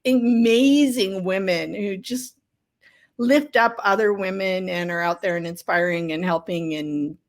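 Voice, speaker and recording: A woman's voice: medium pitch, measured pace. A middle-aged woman talking casually and enthusiastically as a guest author in an interview. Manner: effusive, enthusiastic. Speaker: guest novelist and writing coach.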